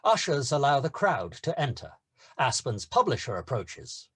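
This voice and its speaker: A man narrating the stage directions of a screenplay at a table read, steady and descriptive. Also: mid-range voice, normal speed, husky, no echo, studio quality.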